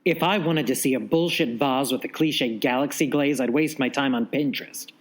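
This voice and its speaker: A man's voice: medium pitch, normal speed, lightly acted. A man playing a harsh veteran art professor in an audio drama, stern, berating and contemptuous. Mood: scornful, angry. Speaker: actor in audio fiction.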